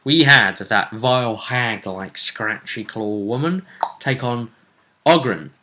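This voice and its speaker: Bright-voiced man recapping a tabletop game. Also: mid-range voice, takes it slowly. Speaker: host and game master. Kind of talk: casual recap of a tabletop role-playing tournament.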